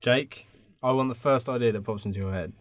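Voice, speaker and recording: A man's voice: medium pitch, a little fast, no room sound, studio quality. A man co-hosting a podcast, trading casual jokes with teasing, flippant interjections. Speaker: podcast co-host. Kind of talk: casual joking banter.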